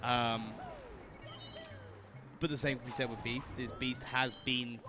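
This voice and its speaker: A man's voice: medium pitch, flat delivery, slow. A man co-commentating a live speedrun event, joking and bantering and reading out donation prizes. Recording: faint music behind.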